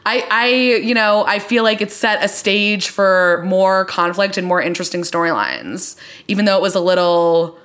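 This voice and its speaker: A woman's voice: medium pitch, normal speed, fairly bright tone. A young woman co-hosting a podcast, reviewing TV in casual, opinionated, slangy talk peppered with "like". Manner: slangy, opinionated, heavy use of "like". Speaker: podcast co-host.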